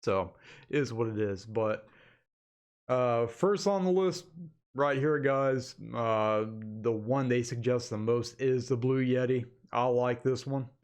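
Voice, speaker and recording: A man's voice: medium pitch, takes it slowly, darkish tone, clear, resonant tone. A man talking informally and directly to his viewers as the host of an online channel, in a casual webcam update recorded on a desktop microphone in an office. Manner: informal, addresses viewers as "guys" repeatedly. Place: indoor home office. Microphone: desktop USB condenser microphone.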